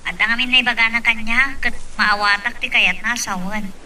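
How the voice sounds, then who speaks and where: A woman's voice: fairly high pitch, fairly even intonation, brisk, bright, forceful tone. A woman with a Filipino accent acting a troubled migrant worker confiding in a friend, in a radio drama. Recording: distant mic, faint background noise.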